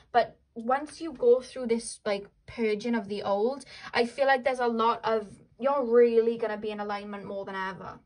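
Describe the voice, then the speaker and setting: A woman's voice: fairly high pitch, poor audio. A young woman with a Welsh accent giving a casual tarot reading, chatting in a rambling way full of fillers and "like". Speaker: tarot reader.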